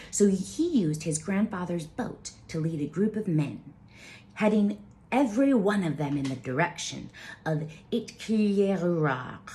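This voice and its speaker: A woman storyteller reading aloud with informal asides, halting and careful over names. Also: medium pitch, a little mellow.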